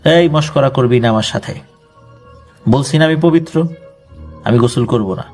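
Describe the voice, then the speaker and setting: A man's voice: mid-range voice, moderate pace, darkish tone, soft background music, natural voice. A man with a Bangladeshi accent voicing an arrogant, menacing jinn possessing a villager in a horror story, threatening and commanding. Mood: defiant.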